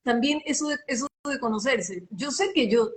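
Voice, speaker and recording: A woman's voice: fairly high pitch, normal speed. A woman who works as a voice-over artist, giving advice on a live video call in an emphatic, teacherly way, repeating phrases and speaking with a slight sing-song lilt.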